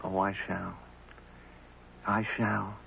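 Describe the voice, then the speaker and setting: An actor playing a gentle, earnest man who narrates and talks tenderly in a 1970s radio drama. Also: low voice, level tone, drawn-out words, vocal fry, full character voice. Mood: subdued. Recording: dull, noisy 1970s radio broadcast.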